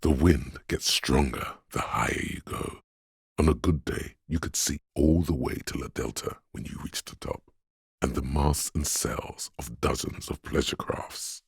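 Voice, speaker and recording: An actor voicing a brooding, poetic, disembodied voice of a windswept city in a video game, with solemn, hushed and deliberate narration in a gravelly, husky bass. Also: male voice, no echo, clean studio sound, full character voice. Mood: ominous, somber. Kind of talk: dramatic scripted game narration.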